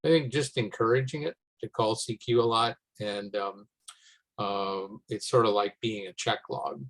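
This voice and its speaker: A man talking informally on a conference call, pausing between phrases as he thinks. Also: on the low side, creaky, a bit bright, rough recording. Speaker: meeting participant. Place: remote teleconference.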